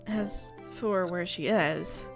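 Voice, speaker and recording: A woman voicing a gentle, evasive queen in a visual novel, hesitant and cryptic. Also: on the low side, slow, gravelly, music in the background.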